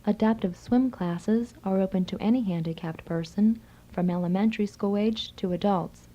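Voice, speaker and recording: A woman's voice: medium pitch, moderate pace, dark tone. A woman hosting a radio magazine program, reading scripted announcements and introductions. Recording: slightly dull old reel-to-reel radio tape.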